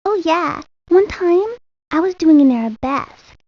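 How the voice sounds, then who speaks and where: A woman's voice: very high-pitched, lively intonation, a little slow, no room sound, heavily acted. A woman voicing a sweet little ballerina girl in a cartoon video game, girlish and confiding, rambling like she shares secrets. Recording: dull lo-fi 1990s game audio.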